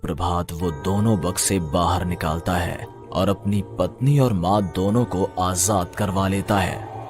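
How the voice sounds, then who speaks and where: A man's voice: deep voice, steady tone, unhurried, music in the background. A man narrating a scripted horror cartoon story in a dramatic storytelling manner.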